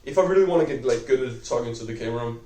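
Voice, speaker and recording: A young man with a Danish accent talks unscripted to a camera, rambling and filling every pause with "like" while he corrects himself. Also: mid-range voice, expressive, dark tone, distant mic. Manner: rambling, heavy use of "like", self-correcting. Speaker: vlogger. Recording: echoey room, voice off-mic.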